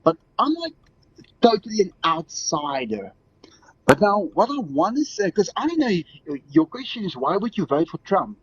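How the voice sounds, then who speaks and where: A man's voice: high-pitched, very animated, normal speed, breathy edge, muffled recording. A man from South Africa with a South African accent, calling into a show and rambling with restarts and laughs. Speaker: caller. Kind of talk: casual call-in chat.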